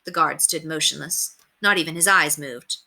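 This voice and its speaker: A woman narrating a children's story aloud in an expressive read-aloud style. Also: low voice, normal speed, vocal fry.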